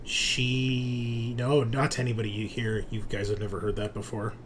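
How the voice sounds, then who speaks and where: A man's voice: mid-range voice, moderate pace. A man acting as game master, narrating a tabletop roleplaying game in an improvised way. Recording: noisy room with steady background ambience.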